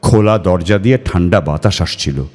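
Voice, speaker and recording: A man reading a literary ghost story in a measured, expressive and suspenseful way. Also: low voice, soft background music. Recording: long hall reverb on voice.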